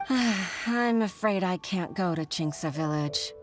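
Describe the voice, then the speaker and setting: A weary, apologetic clerk. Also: female voice, fairly low pitch, animated, slow. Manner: apologetic, sighing. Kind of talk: video game voice acting. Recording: game music behind.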